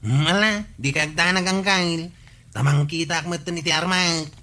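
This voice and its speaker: An actor playing a bashful, lovestruck bachelor in an Ilocano comedy radio drama, speaking sheepishly with an Ilocano accent. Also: male voice, on the high side, fairly even intonation, normal speed, bright, forceful tone. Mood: defensive. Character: bashful lovestruck bachelor nephew, earnest and eager.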